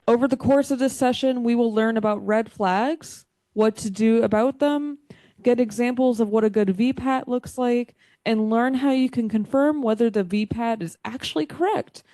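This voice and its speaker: A woman's voice: on the high side, normal speed, warm tone, no room sound. A woman moderating a session, reading a scripted introduction word for word in a formal manner.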